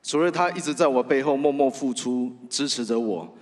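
A man with a Taiwanese Mandarin accent giving an earnest, halting acceptance speech into a stand microphone on a theatre stage. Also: medium pitch. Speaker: radio host, award winner. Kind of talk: award acceptance speech, partly read from phone. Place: large theatre stage at awards ceremony. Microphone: stand microphone, close. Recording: big hall reverb over PA.

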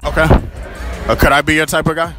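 A man's voice: a little high, flat delivery, quick pace. Young male street interviewer, playful slangy banter, phone mic held out, music and crowd behind, outdoor night. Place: outdoors at night on a busy waterfront strip. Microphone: handheld phone held out to guests.

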